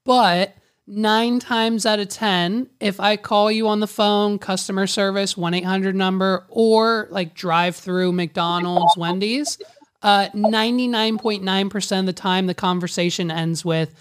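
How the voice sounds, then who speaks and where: A man with a raspy voice that people often take for a woman's, a business owner telling a story in casual conversation, jokey and confiding. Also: high voice, steady tone, a little slow, a bit bright.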